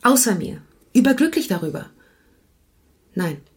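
An actress playing a cool, disillusioned wife in a German radio play, speaking in terse, measured, blunt phrases. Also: female voice, on the high side, very animated, slightly rough, exaggerated voice. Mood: sober, candid.

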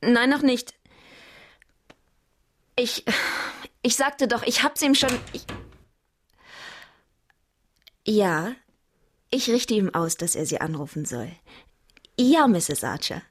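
An actress playing a loyal, efficient detective's secretary in a radio drama, matter-of-fact and familiar. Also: female voice, on the high side, animated, slow, pauses often. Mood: patient, harried.

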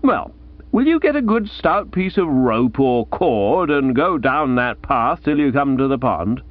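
A man's voice: mid-range voice, very animated, unhurried, dry recording, phone-quality sound. A man voicing an exasperated middle-aged aunt who scolds her nephew theatrically. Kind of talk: audiobook character voice.

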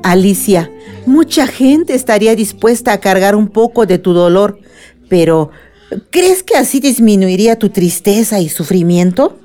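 A woman playing a wise older grandmother in a radionovela, earnest and deliberate as she consoles and persuades. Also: medium pitch, animated, warm tone, faint music behind, close to an everyday voice. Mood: gently firm. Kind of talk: radionovela acting.

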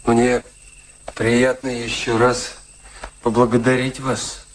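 An actor playing a poor young violinist in a radio play, shy, hesitant, apologetic and grateful. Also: male voice, medium pitch, flat delivery, slow pace, a little hiss or hum, lightly acted. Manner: hesitant, apologetic, self-effacing.

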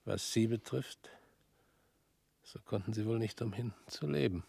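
An actor playing a humbled former prosecutor in a radio play, with a husky voice and an earnest manner. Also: male voice, lowish voice, a little slow, mellow, no room sound, exaggerated voice.

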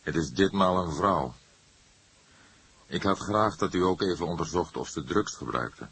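A man voicing a weary, somber older police detective in an audiobook, speaking in a languid, measured manner. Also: low voice, expressive, vocal fry, a bit bright, breathy edge, heavily acted. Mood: businesslike.

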